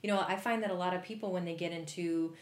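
A woman's voice: fairly low pitch, steady tone, quick pace. Chatty woman host in an echoey room. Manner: chatty, enthusiastic, with lots of "like" and "you know". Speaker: interview host. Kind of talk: casual interview.

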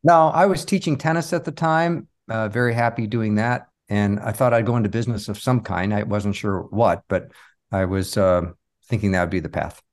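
A man's voice: lowish voice, fairly even intonation, normal speed. A man being interviewed as a podcast guest, telling stories casually in an earnest, reflective way.

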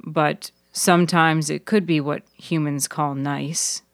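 A woman's voice: low-pitched, steady tone, slow, no room sound, studio quality. A woman voicing a guarded, brooding male friend with a terse, testy manner, in a fiction podcast. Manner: terse, withdrawn. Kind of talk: audio fiction character dialogue.

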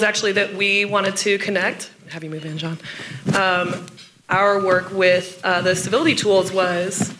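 A woman with a scratchy, hoarse voice moderating a youth panel in a casual, chatty way. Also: on the low side. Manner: casual, self-deprecating, chatty.

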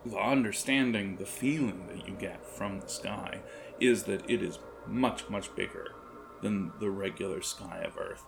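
A man improvising tabletop game narration as game master, in a halting, wordy, descriptive manner. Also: fairly low pitch, gravelly, a little hiss or hum.

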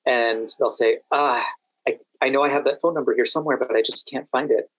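Man explaining in a measured way, dry sound. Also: mid-range voice, steady tone, no room sound, rough recording. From United States, Washington DC area. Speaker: podcast guest, standardized patient and exam event administrator. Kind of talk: interview answers, explanatory.

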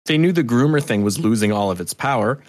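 A man's voice: mid-range voice, animated, quick pace, no room sound. An American man chatting as a podcast guest, telling anecdotes in an emphatic, opinionated way with frequent swearing. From New Hampshire, United States. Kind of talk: casual, opinionated interview chat.

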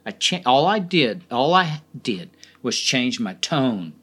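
A middle-aged man hosting a podcast alone, his voice sitting at an ordinary pitch. He rambles, swears freely and keeps restarting his sentences before landing on an emphatic point. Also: very animated, slow, fairly bright tone. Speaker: podcast host. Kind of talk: casual solo podcast monologue.

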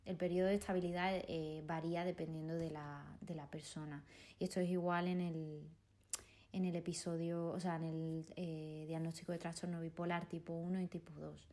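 A woman psychologist giving measured explanations in an interview, with some hesitation fillers. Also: fairly low pitch, little pitch movement, warm tone.

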